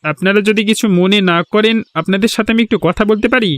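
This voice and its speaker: A man's voice: sits high, fast talker, a bit bright, clean tone, dry recording. A man voicing a polite but questioning, plain-spoken village man in a cartoon. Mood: polite, curious. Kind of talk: cartoon voice acting. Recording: music behind the voice.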